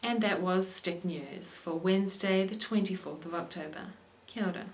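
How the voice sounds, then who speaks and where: A young woman hosting an English lesson show and reading the news in a clear, steady way. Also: fairly low pitch, moderate pace. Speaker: English teacher and show host.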